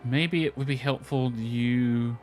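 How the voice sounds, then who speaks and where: Guy doing a laid-back playthrough, offhand asides, reads lines out loud, bit of music behind him. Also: medium pitch, measured pace. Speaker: gamer commenting on own playthrough. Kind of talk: casual game commentary, reading dialogue aloud. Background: background music.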